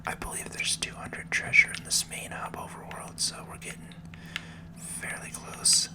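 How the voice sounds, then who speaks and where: Whispered ASMR gaming host. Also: a little slow. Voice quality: breathy unvoiced whisper. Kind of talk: whispered ASMR game commentary.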